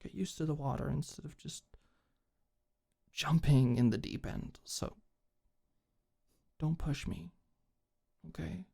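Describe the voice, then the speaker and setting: A young woman playing a tough but awkward, secretly tender delinquent girl in an intimate ASMR roleplay, speaking softly and hesitantly. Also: low voice, slow pace, creaky, long pauses, husky, exaggerated voice. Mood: nervous, earnest.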